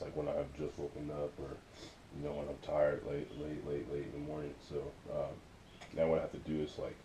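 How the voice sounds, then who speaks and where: A young man with a deep voice chatting casually about his music in a laid-back, rambling way, full of fillers. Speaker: music artist vlogger. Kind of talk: casual vlog chat. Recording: roomy with loud rumbling noise.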